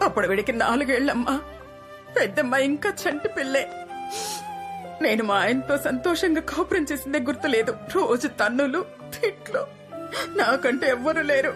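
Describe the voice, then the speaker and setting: A rueful fifties mother. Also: high-pitched. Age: 50s. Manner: rambling, then tearful confession. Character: worn, self-blaming mother of four in her fifties. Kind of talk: film drama scene. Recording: film score under dialogue.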